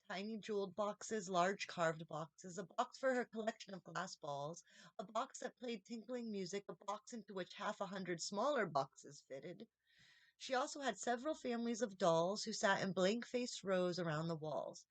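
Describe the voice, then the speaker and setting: A woman reading a children's story aloud as an expressive audiobook narrator. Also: mid-range voice, no echo. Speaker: audiobook reader, channel host.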